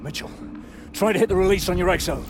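An actor playing a battle-hardened British soldier in a game, speaking with urgent strain to a comrade. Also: male voice, high-pitched, fast talker, over loud music, natural voice.

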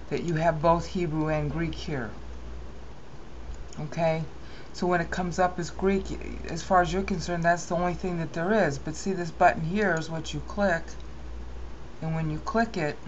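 A woman giving a rambling, opinionated screen-recorded software tutorial, often correcting herself mid-sentence. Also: sits low, muffled recording, slight background noise.

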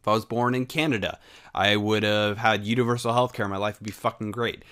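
A man's voice: lowish voice, fairly even intonation, brisk, fairly bright tone. A young American man talking alone in a casual, rambling way, full of fillers, rhetorical questions and casual swearing. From United States. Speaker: podcast host. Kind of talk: unscripted solo monologue.

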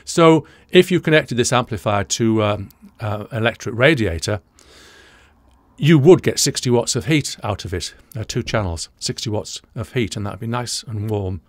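A man's voice: fairly low pitch, lively intonation, normal speed, no echo. Dry-sounding older British man explaining audio. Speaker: audio engineering presenter. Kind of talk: explanatory reaction commentary to camera. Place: small indoor studio room, dry.

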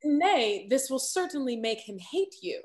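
A woman playing high-voiced, arch confidante. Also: big pitch swings, a little slow, heavily acted. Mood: cautioning. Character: needling female confidante in society. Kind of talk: theatrical period comedy reading. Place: indoor room, video call.

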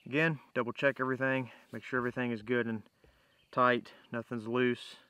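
A man with a bright, forward voice at an ordinary middle pitch talks through a hands-on tutorial. He is informal and plain-spoken, explaining each step as he works outdoors. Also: level tone, fairly quick, clean tone, dry recording. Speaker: bushcraft demonstrator, host. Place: outdoors in woodland.

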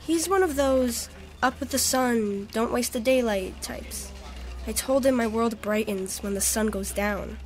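A woman's voice: a little high, unhurried, soft background music, lightly acted. An actress playing a wistful, bookish young woman who loves astronomy, in a natural audio drama. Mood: wistful, hurt.

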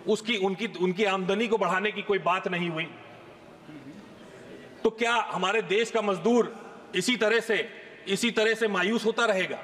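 A man in his thirties delivers a forceful parliamentary speech, pressing rhetorical questions and gesturing as he talks. His voice sits high for a man, and the large chamber gives it a long, trailing reverb. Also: measured pace, pauses often. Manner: emphatic, rhetorical questions, gesturing while speaking. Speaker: member of parliament. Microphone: fixed desk microphone.

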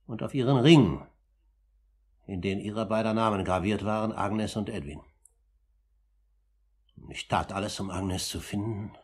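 An actor playing a composed older uncle in a 1950s German radio play, speaking formally and deliberately with firm, accusing emphasis in a husky voice. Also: male voice, deep voice, heavily acted. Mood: insistent, grave.

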